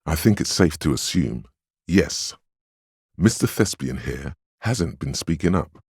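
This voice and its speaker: The inner voice of willpower in a video game. It is an extremely deep, slightly husky male voice, stern and weary, recorded dry and close. It narrates and admonishes deliberately, with grave, wry protectiveness. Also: expressive, darkish tone, no echo, full character voice. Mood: grave, cautioning. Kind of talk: dramatic second-person game narration and inner-voice dialogue.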